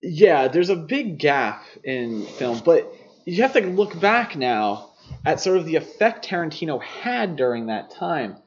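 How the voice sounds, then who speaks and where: A man's voice: a little high, sing-song, unhurried, a little hiss or hum. A man co-hosting a podcast, discussing film casually in an opinionated, conversational way. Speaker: podcast co-host. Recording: dull, muffled lo-fi podcast audio.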